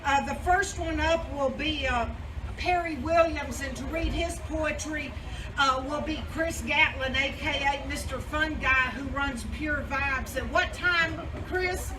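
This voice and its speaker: A woman's voice: high-pitched, measured pace. A woman hosting a live event, introducing readers in an informal, conversational and somewhat hesitant way. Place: live event room with audience. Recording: roomy, distant mic, noisy live crowd.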